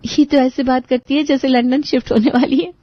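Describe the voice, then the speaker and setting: A woman's voice: high-pitched, fairly even intonation, a little fast, dry recording, dull audio. A woman playing a resentful, put-upon young sister-in-law in a dramatized family story, sarcastic and grudgingly polite. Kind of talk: dramatized storytelling with asides to listeners.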